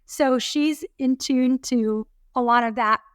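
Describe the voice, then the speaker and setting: A woman in her fifties co-hosting a casual podcast, her voice pitched fairly high. She gives encouraging advice in a rambling, friendly way. The recording is close and dry. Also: slow, clean tone, dry recording. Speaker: podcast co-host. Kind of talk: casual chat.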